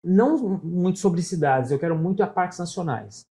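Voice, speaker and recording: A man's voice: high voice, expressive, moderate pace, mellow. A middle-aged man from Brazil with a São Paulo Portuguese accent, talking casually as an interview guest and telling stories, often adding "né?". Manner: storytelling, talkative, frequent "né?" tags.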